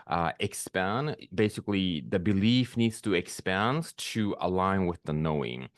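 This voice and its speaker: A man from Peru, a spiritual business coach talking slowly and reflectively to camera, pausing often with fillers. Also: low-pitched, no echo, clean studio sound. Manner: slow, reflective, with frequent pauses and fillers. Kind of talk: solo talk to camera.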